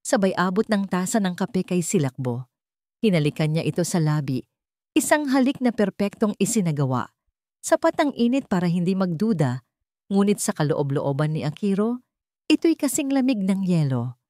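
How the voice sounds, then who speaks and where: Give the narration to a woman with a lowish voice in a dry, close recording. She reads a dramatic story melodramatically, setting out short phrases with deliberate care. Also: big pitch swings, dark tone, no room sound.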